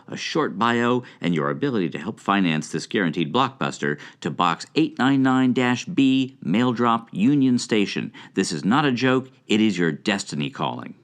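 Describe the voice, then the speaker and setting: A man's voice: mid-range voice, lightly acted. A man playing a pompous, erudite film buff who speaks floridly in a scripted audio drama. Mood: declaiming.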